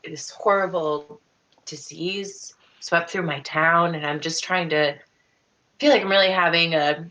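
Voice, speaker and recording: A young woman roleplays over a video call. Her voice is bright and a little low for a woman, and she speaks haltingly, circling back and repeating herself. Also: poor audio. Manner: halting, repeats words, rambling. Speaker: tabletop game player. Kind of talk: improvised in-character roleplay over online call. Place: home room on a video call.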